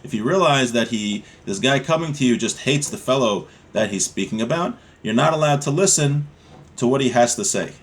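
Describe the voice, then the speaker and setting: A man's voice: medium pitch, lively intonation, moderate pace. A man teaching a religious law text, reading passages in Hebrew and explaining them in casual, conversational speech. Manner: reads Hebrew aloud then translates in casual speech. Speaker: Torah teacher.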